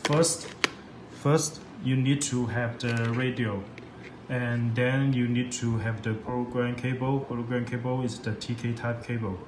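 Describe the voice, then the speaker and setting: A man's voice: mid-range voice, little pitch movement. Man giving a tutorial in a noisy echoey room. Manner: halting, repetitive non-native English. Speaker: product demonstrator for a radio seller. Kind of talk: step-by-step software tutorial. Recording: echoey room, loud background noise.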